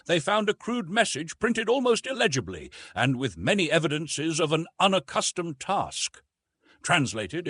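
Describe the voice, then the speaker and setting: A man's voice: mid-range voice, a bit bright, breathy edge, no room sound. A man reading a classic adventure novel as a scripted audiobook narration.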